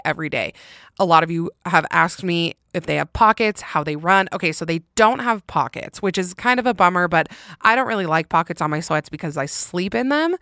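A woman hosting a podcast, chatting casually and candidly on her own with emphatic delivery and a loud, intense voice. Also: deep voice, dry recording, clean studio sound. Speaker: podcast host. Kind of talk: casual solo chat answering listener questions.

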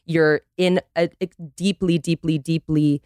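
A woman from Costa Rica speaking candidly and casually as a podcast guest, emphatic and quick to swear. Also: low voice, steady tone, a little mellow, clear, resonant tone, no room sound, clean studio sound.

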